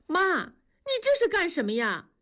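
A woman's voice: fairly high pitch, big pitch swings, a little slow, slightly rough, heavily acted. A woman voicing an upset wife in her late thirties, pleading and exasperated. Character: upset woman near forty, distraught.

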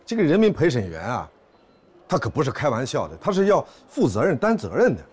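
An actor playing a harried court officer in a screen drama, placating and evasive, with dry exasperation and repeated words. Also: male voice, mid-range voice, wildly swooping pitch, a little fast, darkish tone, slightly rough. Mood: cautious. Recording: noticeable background noise and ambience.

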